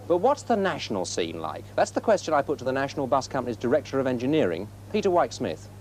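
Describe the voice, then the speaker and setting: British man, training film presenter, animated pitch, articulate, questioning, old soundtrack with faint noise. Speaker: presenter and interviewer. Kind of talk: scripted training film narration and interviewing.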